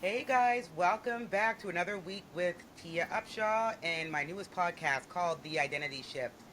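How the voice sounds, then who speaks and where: A woman's voice: lowish voice, normal speed, fairly bright tone, a little hiss or hum, poor audio. A woman hosting a podcast, chatting with a guest in a bubbly, effusive and playful way. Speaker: podcast host. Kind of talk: casual interview chat.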